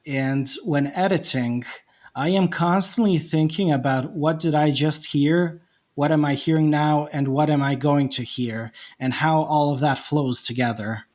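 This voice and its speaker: A man's voice: on the high side, measured pace. A man from Bosnia with a Bosnian accent, a non-native English speaker, talking reflectively in a casual podcast chat with frequent restarts. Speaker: podcast host, guest.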